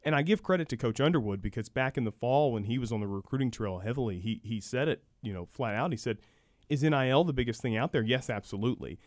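A man's voice: medium pitch, fast talker, no room sound, studio quality. A man speaking as an expert guest in a sports talk interview, explaining things and repeating words for emphasis. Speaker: guest, university program director.

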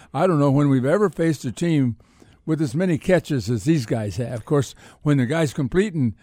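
A man's voice: mid-range voice, warm tone, no room sound, clean studio sound. An older man with an American accent chatting about sports as a radio co-host, folksy and repeating phrases for emphasis. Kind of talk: casual sports analysis chat.

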